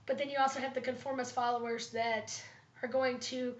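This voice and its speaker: Woman student presenter, slightly raspy, pitched a bit high, pauses with ums; dull, roomy home recording. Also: flat delivery. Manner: thoughtful, with frequent ums and pauses. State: slightly raspy from pollen allergies. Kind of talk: class presentation, leadership analysis.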